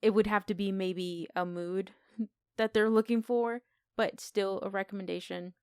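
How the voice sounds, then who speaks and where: A woman's voice: medium pitch, fairly even intonation, moderate pace, clean tone, no echo, studio quality. A woman co-hosting a podcast, giving film opinions in casual, unscripted conversation. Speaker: podcast co-host. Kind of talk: casual film review chat.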